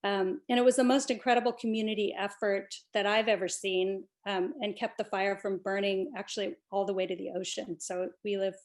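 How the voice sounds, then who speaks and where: A young woman presenting an award over a video call, earnest and warm, starting many phrases with "um". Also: mid-range voice, steady tone, brisk, hardly any pauses. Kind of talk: prepared presentation speech.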